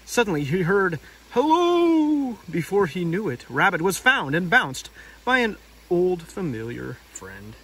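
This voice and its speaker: A man's voice: on the high side, sing-song, a little slow, sharp tone, husky, rough recording. A man hosting a read-aloud outdoors, narrating a storybook with casual asides. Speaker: storybook reader and video host. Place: outdoors by a river.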